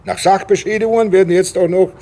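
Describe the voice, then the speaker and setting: An actor playing a harried, sardonic police detective in a radio drama, with questioning, halting delivery. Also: male voice, high-pitched, fairly even intonation, dark tone. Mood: irritated, sardonic.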